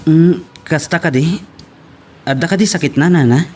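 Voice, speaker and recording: A man's voice: fairly high pitch, normal speed, dark tone, close to an everyday voice. A man playing an earnest young man in an audio drama, sounding troubled and subdued. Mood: concerned. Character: earnest, troubled young man worried about his sweetheart. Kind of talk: Ilocano radio-style drama acting.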